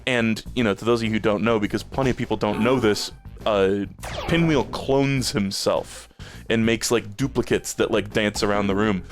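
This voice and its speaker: A man's voice: fairly low pitch, fairly even intonation, normal speed, music in the background. A man streaming a game, rambling in casual chat with plenty of swearing and fillers, a bit phlegmy in the throat. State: a little phlegmy.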